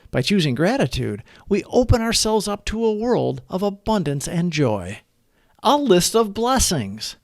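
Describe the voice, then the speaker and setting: A man narrates scripted devotional readings in an earnest, deliberate way. His voice sits a little higher than average and moves widely up and down in pitch. The sound is very dry, with no room around it. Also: no echo. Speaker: narrator of recovery meditation readings. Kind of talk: scripted devotional read-aloud.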